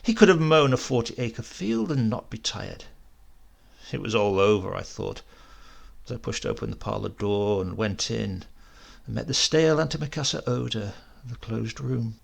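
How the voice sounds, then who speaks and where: A man with an English accent reading a literary story aloud in an expressive, measured way. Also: fairly low pitch, gravelly. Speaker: audiobook reader.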